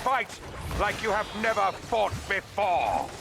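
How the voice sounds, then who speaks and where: A man's voice: sits high, very animated, slow pace, gravelly, heavy background noise, full character voice. A man playing a zealous, solemn holy war commander in a video game, declamatory and resolute in his delivery. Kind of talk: dramatic video game acting. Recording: loud music behind the voice.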